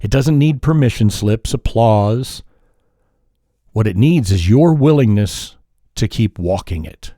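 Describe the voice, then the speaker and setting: A man hosting a solo podcast, talking in a calm, measured, reflective way, sometimes pausing or correcting himself mid-sentence. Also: on the low side, dry recording, studio quality. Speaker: podcast host.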